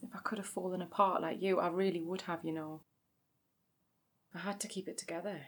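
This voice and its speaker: A woman's voice: on the low side, steady tone, a little fast, fairly bright tone, lightly acted. An actress playing a practical, self-controlled grieving sister and mother in a naturalistic audio drama. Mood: pained, restrained. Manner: understated, dry humour. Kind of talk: naturalistic audio drama acting, comedy and pathos.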